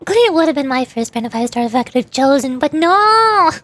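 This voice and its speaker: High-voiced woman streamer chatting casually. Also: quick pace, a little mellow, no echo. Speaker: livestreamer, VTuber. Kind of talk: casual chat while gaming, answering live chat.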